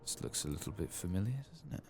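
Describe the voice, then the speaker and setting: A man giving soft-spoken ASMR game commentary, murmuring and at times drifting toward a whisper. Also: low-pitched, very animated, fast talker, a little hiss or hum. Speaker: gaming video host.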